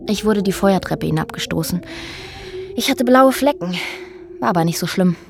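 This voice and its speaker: A woman in a radio drama, telling of a harrowing past with restraint. Her delivery is sober and measured, at a middle pitch, with thoughtful pauses. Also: lively intonation, gravelly. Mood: sober, matter-of-fact. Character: woman quietly recounting a brutal police ordeal, former activist. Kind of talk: German radio drama dialogue and narration.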